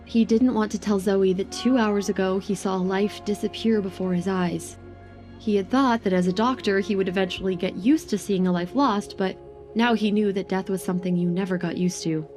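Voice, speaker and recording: A woman narrates a scripted romance in the third person. Her voice is soft-edged and mellow, at a middle pitch. Faint music plays underneath. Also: steady tone, moderate pace.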